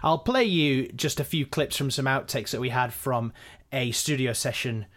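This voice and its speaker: A man giving a measured, conversational behind-the-scenes explanation as a podcast director and editor. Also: medium pitch, fairly bright tone.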